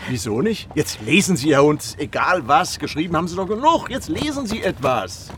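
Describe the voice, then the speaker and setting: An actor playing a jovial, talkative privy councillor with a Frankfurt accent and a theatrical, condescendingly courteous manner in a radio play. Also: male voice, on the high side, big pitch swings, normal speed, a bit bright. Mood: playful, eager. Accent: Frankfurt Hessian. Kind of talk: German radio play dialogue. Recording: noisy rattling ride background.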